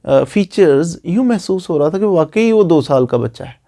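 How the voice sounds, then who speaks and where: A man's voice: a little high, lively intonation, moderate pace, dark tone. A man with a Pakistani accent giving an informal legal explainer as a lawyer, repeating phrases for emphasis. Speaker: lawyer explaining law online.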